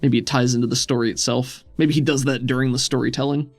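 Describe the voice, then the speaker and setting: A man runs a tabletop game as its game master. His mid-pitched voice has a noticeable creak as he describes scenes conversationally. Music plays behind him. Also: fairly even intonation, fast, no room sound. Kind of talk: improvised tabletop game narration.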